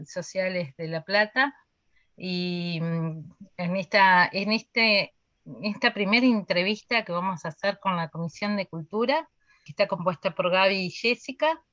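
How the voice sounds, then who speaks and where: Argentine woman, interviewer, slightly low voice, slow hesitant delivery, dull dry recording. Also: a bit bright, no echo. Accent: Argentine Rioplatense. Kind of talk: interview introduction. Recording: dull, lo-fi audio.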